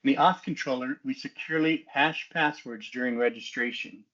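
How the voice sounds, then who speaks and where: A man's voice: medium pitch, moderate pace, fairly bright tone, dull audio. A man giving a methodical, explanatory technical walkthrough as a student developer, in a semi-scripted presentation style.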